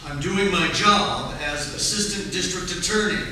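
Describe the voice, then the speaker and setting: A man's voice: high voice, normal speed, natural voice. An actor playing an exasperated, defensive male prosecuting attorney in a 1940s-style radio play on stage. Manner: exasperated, insistent. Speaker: community theatre actor. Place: community theatre stage performance. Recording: echoey hall, distant mic, faint noise.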